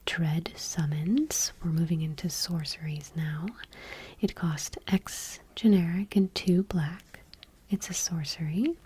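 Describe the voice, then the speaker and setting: A woman softly reading aloud for ASMR in a breathy, whispery voice with a hushed, gentle delivery. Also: fairly low pitch, fairly even intonation, slow. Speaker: ASMR video host.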